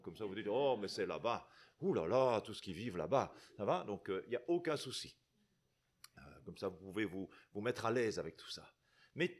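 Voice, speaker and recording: A middle-aged man from Belgium with a Belgian French accent, preaching to a congregation in a chatty way, often tagging his sentences with questions and restarting them. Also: on the low side. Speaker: guest preacher. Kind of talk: sermon to a congregation.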